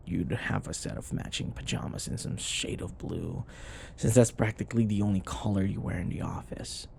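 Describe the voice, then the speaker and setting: Man acting a cocky, flirty office rival, swinging pitch. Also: a little mellow, full character voice. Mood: flustered, defensive.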